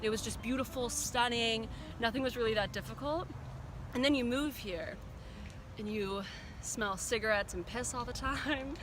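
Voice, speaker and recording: A woman giving frank, lively interview answers outdoors on a city street. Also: on the high side, normal speed. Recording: street crowd noise behind.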